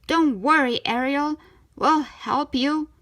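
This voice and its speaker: A woman's voice: high-pitched, slow pace, a bit bright, voice pushed up. A woman voicing an eager, encouraging male seagull in a children's storybook, cheering on a friend emphatically. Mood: reassuring.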